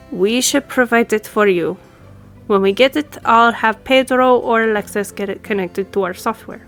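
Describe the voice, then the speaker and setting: Woman playing a brisk, higher-voiced female office boss. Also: fairly bright tone. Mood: friendly, lightly teasing. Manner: businesslike, conversational, lightly teasing. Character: brisk, friendly female law firm boss giving instructions. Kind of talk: audio roleplay acting, addressed to the listener.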